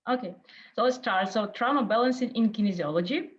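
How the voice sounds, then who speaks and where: A woman whose first language is Russian gives a lecture over a video call. She speaks haltingly, fills gaps with uh and um, and searches for her words. The call audio sounds dull and lo-fi. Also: mid-range voice. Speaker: guest lecturer. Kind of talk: online lecture in a second language.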